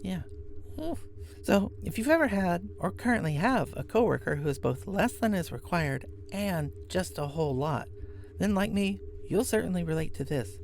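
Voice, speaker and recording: A woman's voice: fairly low pitch, expressive, moderate pace, a little mellow, a little hiss or hum. A woman hosting a podcast who recommends a show in a chatty tone with wry asides. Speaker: podcast host. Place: workplace, on lunch break.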